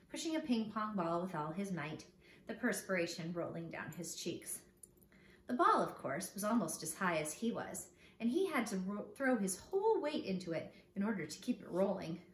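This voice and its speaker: A woman reading a children's storybook aloud expressively, speaking to young listeners as a teacher would. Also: medium pitch. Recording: echoey room with background noise.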